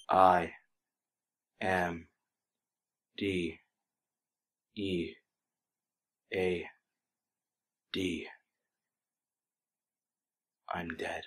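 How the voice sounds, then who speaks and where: A man reading a scripted horror story as its narrator, in an ominous, dramatic manner that can drop to a hush. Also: deep voice.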